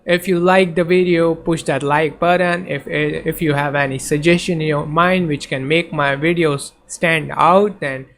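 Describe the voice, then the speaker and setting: A man's voice: a little high, fairly even intonation, a little slow, vocal fry, few pauses, fairly bright tone. A man whose first language is Urdu, teaching in a casual lecture over slides, hesitant, with many fillers and restarts. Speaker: tutor.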